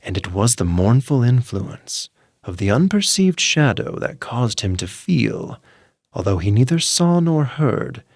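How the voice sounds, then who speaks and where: A male narrator reads a grim tale at an unhurried, deliberate pace, his fairly low voice sweeping widely in pitch. He swings from hushed murmurs to sharp, intense peaks. The sound is close and dry, with no room around it. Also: gravelly, no echo. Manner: slow, theatrical, swings from hushed murmurs to sudden intense outbursts. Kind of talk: dramatic audiobook reading for sleep.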